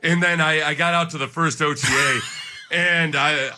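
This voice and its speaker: A man's voice: on the high side, fairly even intonation, moderate pace, pushed and bright, a little hiss or hum. A middle-aged man co-hosting sports radio, telling stories in a casual, jokey way full of exaggeration. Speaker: sports radio co-host, former pro football player.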